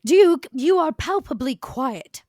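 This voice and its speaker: A woman improvising in-character dialogue in a tabletop game, with formal, arch, measured wording. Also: high-pitched, animated, darkish tone, no echo, studio quality. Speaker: player in a tabletop role-playing game.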